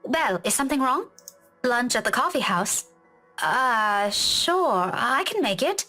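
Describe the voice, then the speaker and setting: A woman's voice: a little high, very animated, slow pace, sharp tone, soft background music, exaggerated voice. A woman playing a friendly, sheepish young schoolteacher in a visual novel game, with an apologetic, bubbly manner. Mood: agreeable.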